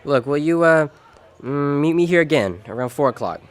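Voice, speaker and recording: An actor playing a world-weary, decent American private detective in an old-time radio drama, wry and clipped. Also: male voice, a little high, lively intonation, moderate pace, natural voice. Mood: gentle, awkward. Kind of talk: 1940s detective radio drama, first-person narration and dialogue.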